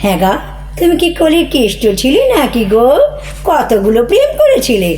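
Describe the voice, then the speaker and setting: A high, expressive female voice of an actress as a bickering wife in a comedy play. She is sarcastic and scolding, mocking her husband with theatrical flair. There is room echo and faint music behind. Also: normal speed. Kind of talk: Bengali audio comedy play acting.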